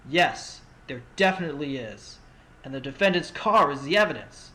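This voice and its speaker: A man's voice: on the high side, lively intonation, normal speed, sharp tone. A man playing an earnest, determined young defense lawyer in an amateur courtroom audio drama, with music behind him. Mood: forceful, accusing.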